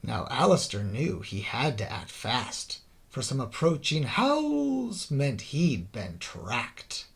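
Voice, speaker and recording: Slow, animated male storybook narrator. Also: medium pitch. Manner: theatrical, deliberate storytelling with drawn-out phrase endings. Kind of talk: dramatic scripted children's story narration in rhyme.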